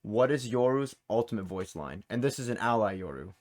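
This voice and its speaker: A man's voice: lowish voice, animated, brisk, darkish tone, slight background noise. A man hosting a video game trivia quiz in casual chat, informally reading questions and answer options aloud. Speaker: quiz host.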